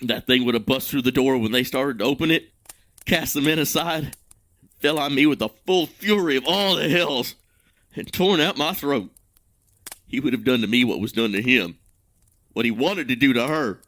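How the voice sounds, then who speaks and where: An actor playing an ashamed, grieving man who tells his tale with self-loathing and dramatic pauses. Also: mid-range voice, fairly bright tone, dry recording, lightly acted. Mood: anguished bitterness. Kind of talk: dramatic fantasy monologue in audio drama.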